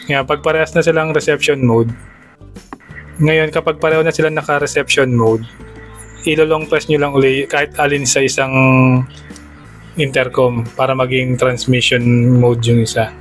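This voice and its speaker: A man's voice: medium pitch, level tone, normal speed, pauses often, soft background music. A man with a Filipino accent narrating a step-by-step product tutorial for a vlog in a plain, conversational, instructional way. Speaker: motorcycle vlogger giving a product tutorial.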